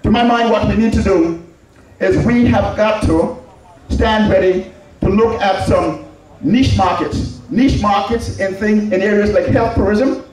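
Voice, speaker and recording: A man with an Anguillan Caribbean accent giving a political public address in an emphatic, deliberate manner, repeating phrases for stress. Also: high-pitched, a little mellow. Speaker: public speaker addressing a community. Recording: very echoey public address, distant mic.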